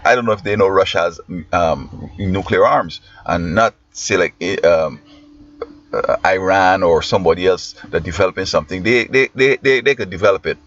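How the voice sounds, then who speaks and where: A man's voice: sits low, moderate pace, fairly bright tone. A man from Guyana with a Guyanese accent, a schoolteacher giving rambling, preachy commentary that often trails off mid-sentence. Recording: muffled lo-fi with faint hiss.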